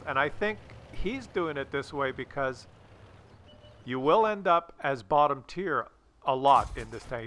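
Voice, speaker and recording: Bright, high-voiced man, rambling game commentator. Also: slight background noise. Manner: rambling, halting, repeats himself. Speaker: gaming video host and commentator. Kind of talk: casual gameplay commentary and coaching.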